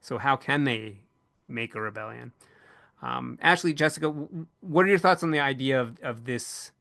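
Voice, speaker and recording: A man co-hosting a casual panel discussion, thinking aloud in a halting way and often repeating words as he goes. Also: mid-range voice, fairly bright tone, dry recording, clean studio sound. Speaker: podcast co-host moderating a panel.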